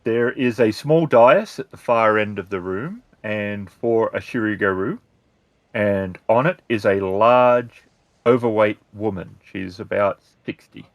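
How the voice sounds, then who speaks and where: A man's voice: lowish voice, no room sound. A man narrating a tabletop roleplaying game as the game master.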